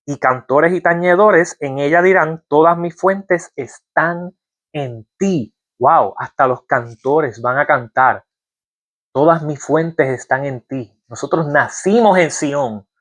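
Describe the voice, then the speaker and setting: A man's voice: fairly high pitch, slow pace, a bit bright. A man giving a Bible-teaching sermon, reading verses aloud and asking his listeners rhetorical questions. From Puerto Rico. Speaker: preacher.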